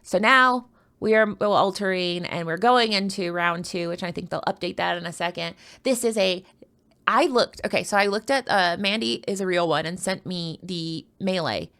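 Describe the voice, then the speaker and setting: A woman's voice: lowish voice, moderate pace, a bit bright, clean tone. A woman from the United States giving casual, playful live commentary on a card tournament, chatting and rambling into asides.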